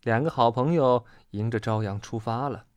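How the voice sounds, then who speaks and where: A storyteller narrating a children's storybook in an expressive, dramatic way. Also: mid-range voice, normal speed, dry recording, clean studio sound.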